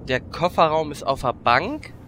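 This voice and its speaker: A man's voice: a little high, sing-song, measured pace, sharp tone, a little hiss or hum, full character voice. A man voicing a vain, boastful gnome warlock with a pompous, theatrical delivery in a German audio drama parody. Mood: puzzled. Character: vain, self-important male gnome warlock, boastful womanizer.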